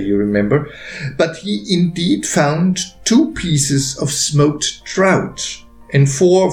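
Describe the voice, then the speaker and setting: A man reading his own story aloud as a narrator, with gentle, deliberate phrasing and pauses. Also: on the high side. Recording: roomy echo with faint music behind.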